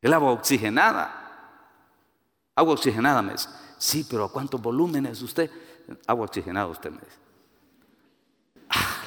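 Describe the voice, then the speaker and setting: A man's voice: medium pitch, moderate pace, pauses often, long reverb tail. A man from Guatemala with a Guatemalan Spanish accent, preaching a sermon with personal stories and acting out the voices he quotes. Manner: animated, rises to emphatic peaks, acts out quoted voices.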